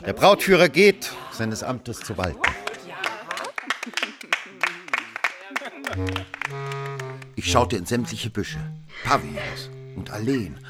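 A worldly gentleman telling his own scandalous affair in a radio play. His voice sits low and swings dramatically from dry, cynical narration to urgent speech, with prominent music underneath. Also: huge pitch swings, a little slow. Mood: boisterous.

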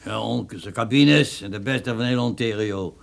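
A man's voice: lowish voice, normal speed, creaky. An actor playing a folksy, obliging motel keeper in a radio drama, with a chatty salesman's manner. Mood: chatty, proud.

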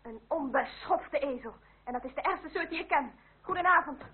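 An actress playing a haughty, sharp-tongued young noblewoman with formal speech, in a period radio drama. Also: high-pitched, moderate pace, close to an everyday voice. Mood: scornful. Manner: cutting, formal. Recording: old narrow-band radio recording.

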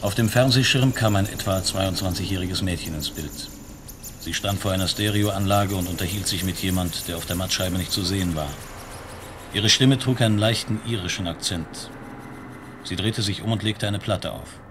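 A man's voice: low-pitched, moderate pace, noisy background, close to an everyday voice. A man narrating a science-fiction detective radio play in a sober, detached, descriptive way.

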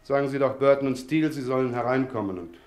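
A man's voice: mid-range voice, darkish tone, slight background noise, close to an everyday voice. Actor playing a firm, formal male police inspector. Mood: firm, official. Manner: brisk, official, polite but insistent. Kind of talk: German radio crime drama acting.

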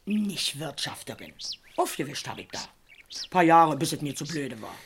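A woman's voice: deep voice, sing-song, normal speed, slight background noise. An actress playing a cheeky, garrulous working-class older woman with a Berlin accent in a radio crime play. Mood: pondering. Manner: garrulous, folksy, colloquial. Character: chatty, cheeky older working-class woman, former cleaner, police witness.

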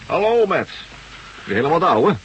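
An actor playing a jovial, loyal trucker pal, chummy and teasing, in a Dutch radio drama. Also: male voice, a little high, very animated, brisk, fairly bright tone, slightly rough, muffled recording, exaggerated voice. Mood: hearty. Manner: hearty, familiar, teasing. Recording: faintly hissy old radio recording.

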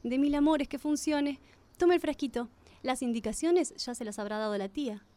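Actress as an earnest, high-voiced young niece. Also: female voice, moderate pace, warm tone, close to an everyday voice. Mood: polite, uneasy. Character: earnest young niece, a hesitant healer and cook. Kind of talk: radio drama acting.

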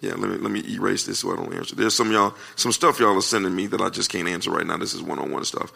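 Gravelly, forceful American male preacher. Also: deep voice, quick pace. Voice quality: naturally loud voice. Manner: folksy, emphatic, repeats phrases for effect. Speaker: preacher answering questions at a marriage conference. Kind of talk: conversational sermon with question and answer.

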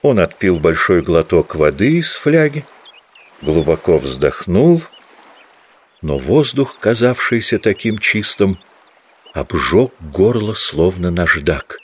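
A man's voice: low-pitched, very animated, slow, slightly rough. A man reading literary prose as a scripted audiobook narration.

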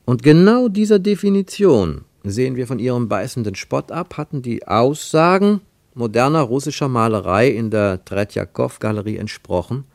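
Low, lively male narrator, dry studio. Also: creaky, dry recording. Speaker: narrator of a radio play. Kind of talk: scripted literary narration.

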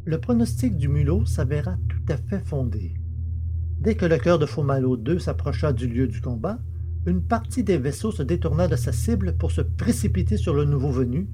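Male narrator, science-fiction audio drama: scripted storytelling, slightly high register, noticeable background noise. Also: animated, normal speed.

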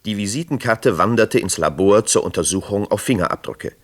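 A man's voice: lowish voice, animated, a little fast. A man narrating a scripted true crime radio drama in a sober manner. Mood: sober, suspenseful.

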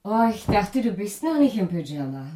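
A woman's voice: medium pitch, big pitch swings, moderate pace, mellow, echoey room, a little hiss or hum, natural voice, low-quality recording. An actress playing an indignant, suspicious circus woman who questions and accuses, in radio drama style. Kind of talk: 1980s German radio drama acting.

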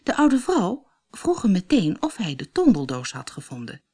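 A woman's voice: on the low side, wildly swooping pitch, moderate pace, warm tone, husky. A woman reading a fairy tale as an audiobook storyteller, expressively, as if for children. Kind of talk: scripted fairy-tale narration.

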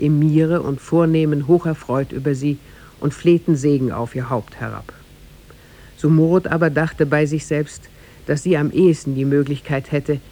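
A man narrating a tale in a 1950s German radio play, formal and measured. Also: on the high side, mellow, natural voice. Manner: formal, measured storybook delivery.

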